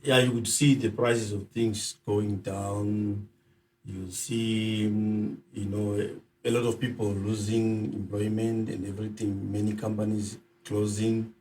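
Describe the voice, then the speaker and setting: A man's voice: lowish voice, little pitch movement, unhurried, a little mellow, recorded from a distance, a little hiss or hum. A middle-aged man from Zimbabwe, a podcast guest, talking in a conversational way with many ums and uhs. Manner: hesitant, many filler sounds. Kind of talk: conversational interview.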